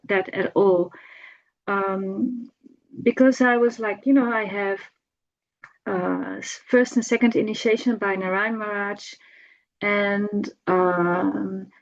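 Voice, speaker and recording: Middle aged lady giving a personal tribute, kinda halting, repeats words, muffled lofi audio. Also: medium pitch. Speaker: devotee giving a personal homage. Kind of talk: informal spoken remembrance.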